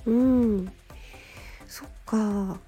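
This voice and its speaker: A woman hosting a solo radio-style talk, speaking politely and gently in short, halting phrases. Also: medium pitch, faint music behind. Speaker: radio show host and amateur voice actor.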